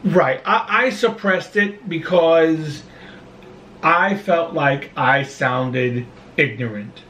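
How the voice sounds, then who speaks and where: Slow, halting man with a Brooklyn accent. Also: fairly high pitch, fairly bright tone, echoey room, distant mic. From Brooklyn, New York. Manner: halting, with frequent restarts and slow stretches. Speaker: vlogger. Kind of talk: casual chat. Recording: voice a bit off-mic, faint room noise.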